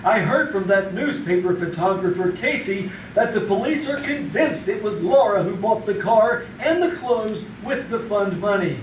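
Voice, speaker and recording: An actor playing an anxious, scheming husband in an old-time radio style drama. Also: male voice, high voice, lively intonation, moderate pace, hardly any pauses. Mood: guarded, smug. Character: anxious scheming husband, embezzler balking at murder. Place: live theater stage. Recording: distant echoey stage recording, noisy.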